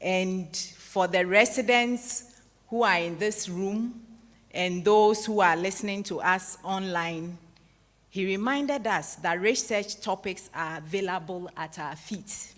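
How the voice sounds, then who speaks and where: A woman in her forties from Ghana, moderating a public lecture in a large hall, summing up the talk in a measured, formal way before opening the floor. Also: medium pitch, a bit bright. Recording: echoey hall with long reverb.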